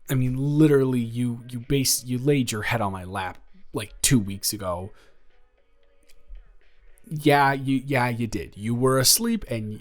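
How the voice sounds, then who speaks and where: A man playing a nervous, earnest male best friend confessing a crush in a halting, rambling, improvised audio roleplay. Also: mid-range voice, close to an everyday voice. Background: music.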